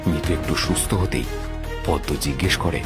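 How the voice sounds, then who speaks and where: A man's voice: rumbling low, moderate pace, faint music behind. A man narrating a scripted horror story.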